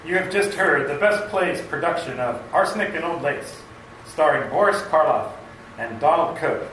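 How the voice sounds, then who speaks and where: A man's voice: fairly high pitch, animated, normal speed. A man announcing credits in old-time radio style, recorded in a large echoey room. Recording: echoey stage, distant, loud hiss.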